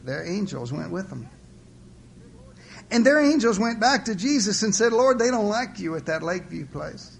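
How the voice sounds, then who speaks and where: Man voicing a gentle, earnest, saintly poor mother. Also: sits high, big pitch swings, normal speed, gravelly, slight background noise, pitched above the performer's own voice, heavily acted.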